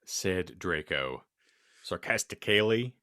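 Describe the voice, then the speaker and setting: Slow, low-voiced deadpan male narrator. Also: no echo, studio quality. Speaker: podcast host and narrator. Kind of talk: comic narration of misspelled fan fiction.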